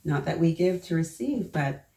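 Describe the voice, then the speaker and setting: A woman reading a children's story aloud, pausing to prompt young listeners with questions. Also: deep voice, expressive, vocal fry, dark tone. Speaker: children's storybook reader and host. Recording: roomy echo, distant mic, faint noise.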